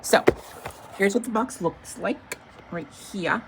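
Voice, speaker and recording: A woman giving a casual, informal unboxing demonstration as a product reviewer, speaking haltingly with vague, everyday wording. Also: mid-range voice, slight background noise, poor audio.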